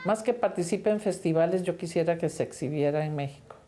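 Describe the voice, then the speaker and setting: Low-voiced older woman from Costa Rica. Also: brisk, dark tone, faint music behind. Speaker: film director. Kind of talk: interview about her film.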